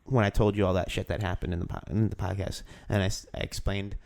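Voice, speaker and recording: A man's voice: low-pitched, fairly even intonation, quick pace, creaky, darkish tone. A man hosting a podcast in casual chat, joking and rambling with lots of restarts. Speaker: podcast host.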